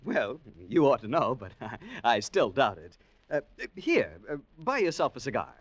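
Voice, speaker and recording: A man's voice: mid-range voice, sing-song, moderate pace, no echo, dull audio. An actor with an American accent playing a hapless, polite tourist who narrates his misadventure in a 1940s radio drama. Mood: flustered, polite. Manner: hesitant, stammering in dialogue, dramatic when narrating. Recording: noisy vintage 1940s radio broadcast.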